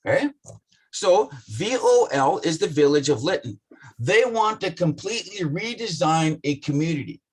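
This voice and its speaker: A man with a rough voice talking passionately and bluntly in a casual business discussion, swearing often. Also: fairly high pitch, big pitch swings, normal speed, poor audio.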